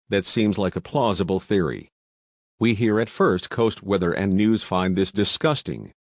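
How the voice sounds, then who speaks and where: A man acting as a news anchor, delivering a scripted broadcast in a measured, earnest way. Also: lowish voice, dry recording.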